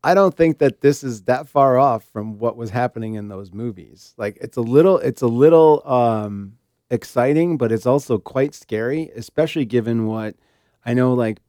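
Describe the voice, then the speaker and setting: A man's voice: medium pitch, moderate pace, mellow, no room sound, clean studio sound. A middle-aged man co-hosting a casual podcast, with a wry, joking way of talking. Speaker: podcast co-host.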